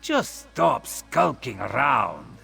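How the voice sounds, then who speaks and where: A suspicious, sneering local from a fantasy game. The voice is creaky and husky, with lively swings in pitch as he accuses and complains. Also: takes it slowly, soft background music, exaggerated voice. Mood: exasperated. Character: suspicious, scornful male local of a fantasy land. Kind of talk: video game character acting.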